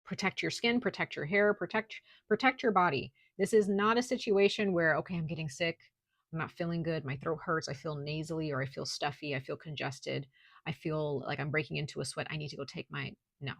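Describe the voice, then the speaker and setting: Soft-spoken woman with a gravelly low voice. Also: fairly even intonation, a little fast, no echo. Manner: speaks softly at times, lots of "like" and "okay?". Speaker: tarot reader. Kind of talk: casual spiritual reading to camera. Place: at home, noise outside.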